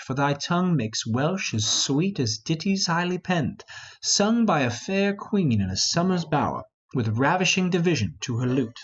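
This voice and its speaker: A man playing an earnest, lovestruck young lord in an amateur Shakespeare reading, expressive and lyrical. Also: a little high, lo-fi sound.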